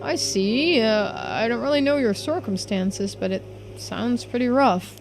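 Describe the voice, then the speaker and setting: A woman's voice: fairly high pitch, sing-song, unhurried, creaky, faint music behind, full character voice. Woman voicing a casual, wry police investigator. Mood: awkward. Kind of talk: video game dialogue read-through.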